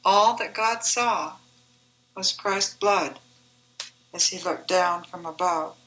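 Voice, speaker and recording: A woman reciting her own devotional poem in a measured, deliberate, reverent manner. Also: lowish voice, a bit bright, slight background noise, rough recording. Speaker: author reading own poem.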